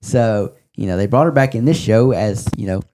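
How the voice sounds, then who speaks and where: A man's voice: fairly low pitch, normal speed, mellow. A man hosting a podcast in a casual, conversational style. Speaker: podcast host. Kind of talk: casual chat.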